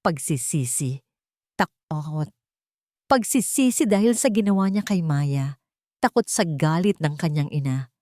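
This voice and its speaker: A woman with a slightly low voice narrates a romantic audio drama in a scripted, dramatic style. The recording is close and dry. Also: lively intonation, a little slow, a little mellow, no room sound.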